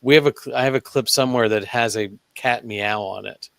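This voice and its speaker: A man talking as a guest investigator in a casual podcast chat, telling stories in a relaxed way with hesitant pauses. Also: mid-range voice, vocal fry, no room sound. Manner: relaxed storytelling with hesitant ums.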